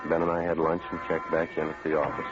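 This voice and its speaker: A dry, low-voiced detective sergeant who speaks in clipped, flat, factual phrases. It is an old radio police drama, muffled, with music behind. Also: male voice, fast. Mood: matter-of-fact. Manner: clipped, deadpan, matter-of-fact. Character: terse, dry, world-weary male police sergeant. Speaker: narrator and lead detective. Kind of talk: 1950s radio police drama, narration and dialogue.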